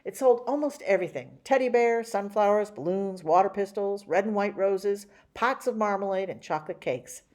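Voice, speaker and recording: A woman reading a children's picture book aloud in an expressive storytelling way. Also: medium pitch, moderate pace, few pauses. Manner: expressive, animated.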